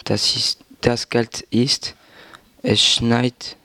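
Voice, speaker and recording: A male student giving a halting classroom presentation, with many fillers and pauses as he searches for words. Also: medium pitch, flat delivery, pushed and bright, dry recording. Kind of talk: classroom presentation in a learned language.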